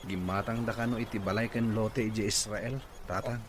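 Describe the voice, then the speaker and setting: A fairly low male voice with a Filipino accent and clear background noise. A man acting as a practical farmer in a conversational radio drama. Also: steady tone, moderate pace, lightly acted. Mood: matter-of-fact.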